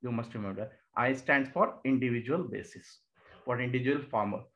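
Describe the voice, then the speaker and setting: A man's voice: mid-range voice, brisk, highs cut off. A man with an Indian English accent giving a recorded lecture over slides, explaining step by step, repeating key terms and answering his own questions. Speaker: exam coaching teacher. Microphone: voice-over on annotated slides.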